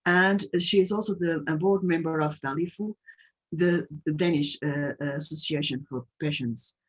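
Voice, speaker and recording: Woman, Portuguese accent, husky voice, halting non-native English, webinar host. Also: sits low, low-quality recording. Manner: halting, many fillers and restarts. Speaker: patient advocate hosting a webinar. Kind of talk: webinar presentation.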